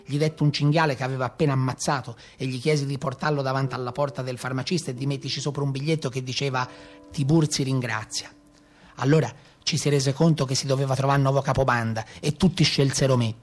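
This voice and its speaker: An actor playing a rough, boastful Maremma brigand in a theatrical monologue, with a Tuscan accent and earthy, colloquial storytelling. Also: male voice, mid-range voice, steady tone, quick pace, close to an everyday voice. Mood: gruff recounting.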